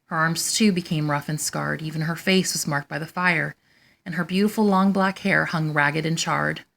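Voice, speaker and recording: Lady reading a kids picture book out loud, lowish voice, measured storyteller delivery. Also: steady tone, vocal fry. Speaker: storytime host and reader.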